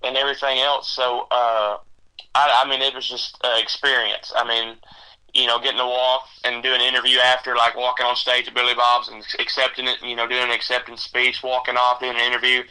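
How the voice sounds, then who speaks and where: Bright-voiced young man. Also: mid-range voice, completely flat delivery, clear, resonant tone, poor audio. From Texas. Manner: halting, rambling with many fillers. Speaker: country singer guest. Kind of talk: casual interview chat.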